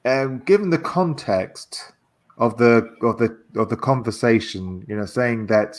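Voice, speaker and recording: A man casually discussing politics in a halting way, repeating words as he searches for phrasing. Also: medium pitch. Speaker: guest discussing a political transcript.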